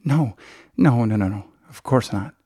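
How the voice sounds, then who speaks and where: A man's voice: medium pitch, animated, unhurried, mellow, husky, no echo, studio quality. A man voicing a frightened, skittish mother in an audiobook, breathless and stammering as her words tumble out. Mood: tired, nervous. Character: frightened, frantic mother of a college student.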